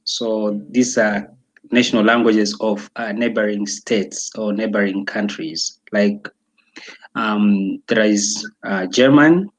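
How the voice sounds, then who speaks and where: A young man from Tanzania talking casually as an interview guest, pausing often with fillers and restarts. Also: on the low side, steady tone, poor audio. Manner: hesitant, many fillers and restarts.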